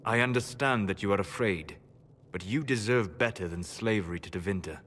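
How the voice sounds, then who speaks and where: Low, grave scholarly mage, played by an actor. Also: male voice, fairly bright tone, slight background noise, lightly acted. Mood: sympathetic. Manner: measured, grave.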